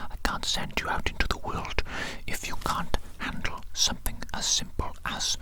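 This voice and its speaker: A performer whispering as an evil mad doctor in an ASMR medical roleplay, fussy and controlling, softly repeating phrases to a little minion. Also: moderate pace, natural voice. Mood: sinister, doting. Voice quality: breathy unvoiced whisper.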